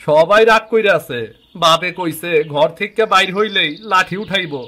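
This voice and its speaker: A man acts out a lovesick young fishmonger in a cartoon folk tale. The voice is very high for a man and bright, timid and pleading, with an eastern Bengali accent. Light music sits behind it. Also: moderate pace. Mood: dejected, puzzled. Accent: eastern Bengali (Bangladeshi). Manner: pleading, hesitant. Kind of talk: dramatic cartoon voice acting.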